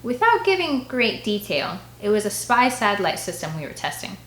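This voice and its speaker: A woman voicing a stern, authoritative dad in an audiobook, speaking in a measured, firm way. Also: medium pitch, sing-song, a bit bright, echoey room. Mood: explaining. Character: stern, authoritative father, government man.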